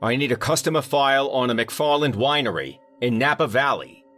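A man's voice: mid-range voice, expressive, a little fast, fairly bright tone, faint music behind, voice pushed up, close to an everyday voice. A man voicing a harried, middle-aged male manager who keeps up a strained, polite customer-service tone. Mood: impatient.

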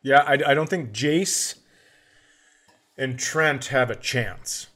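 A man's voice: mid-range voice, slow pace, a bit bright. An American man co-hosting a comedy podcast, riffing in a casual, mock-serious and sweary way. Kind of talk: casual comic banter.

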